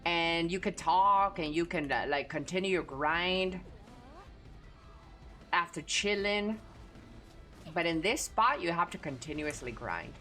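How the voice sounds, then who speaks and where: A woman's voice: on the low side, lively intonation, unhurried, long pauses, fairly bright tone, faint music behind. A woman with a Texan Southern accent chatting casually and crudely with her audience while streaming a game. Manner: crude, profane, laid-back. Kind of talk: casual gaming stream chat.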